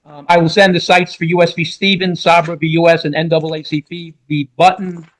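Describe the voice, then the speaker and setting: A man's voice: on the high side, level tone, brisk, poor audio. A man speaking as a lawyer in court, politely addressing the judge and citing cases. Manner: deferential, polite to the bench. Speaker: lawyer arguing before a judge. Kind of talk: courtroom argument, citing case law.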